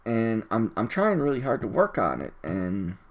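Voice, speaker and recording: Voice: mid-range voice, very animated, normal speed, highs cut off. A person recording a casual solo audio log, rambling in an opinionated, exasperated and emphatic way with frequent tangents and swearing. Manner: rambling, easily distracted, opinionated.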